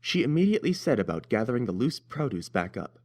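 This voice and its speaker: A man's voice: mid-range voice, big pitch swings, quick pace, a little mellow. A man narrating a scripted story as its reader.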